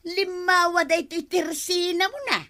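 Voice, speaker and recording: A woman playing a cheeky, debt-dodging village gossip with exaggerated, drawn-out delivery in a comic radio drama. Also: very high-pitched, fairly even intonation, pushed and bright, exaggerated voice. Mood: nosy, sly.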